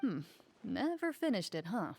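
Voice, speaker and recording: An actress playing a composed female duelist in a game cutscene, speaking in a calm, measured, firm way. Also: mid-range voice, vocal fry. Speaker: game character.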